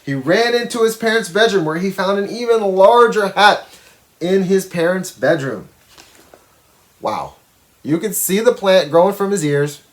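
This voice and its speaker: Animated man reading a children's storybook aloud. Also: high voice, unhurried. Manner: expressive, explaining pictures and words to young listeners. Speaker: storybook reader. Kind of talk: children's read-aloud with explanatory asides.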